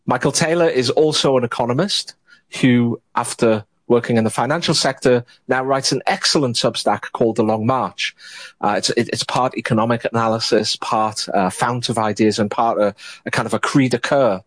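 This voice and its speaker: A man hosting an online audio panel, introducing the guests in measured sentences broken by frequent hesitations. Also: medium pitch, no room sound. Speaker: host.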